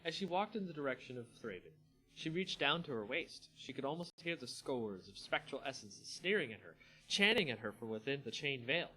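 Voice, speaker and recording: A man's voice: medium pitch, very animated, brisk, pushed and bright, rough recording. A man hosting a livestream, moving between casual chat and dramatic story reading. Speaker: channel host and story reader. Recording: music playing behind the voice. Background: background music.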